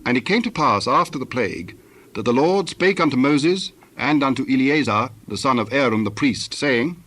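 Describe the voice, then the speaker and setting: A man narrating scripture from a script in a measured, formal way. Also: mid-range voice, lively intonation, a bit bright.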